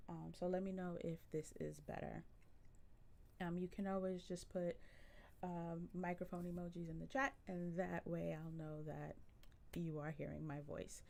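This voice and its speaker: A woman with a generally soft voice chatting casually with viewers on a live stream, relaxed and full of ums. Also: on the low side, gravelly. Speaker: livestreamer.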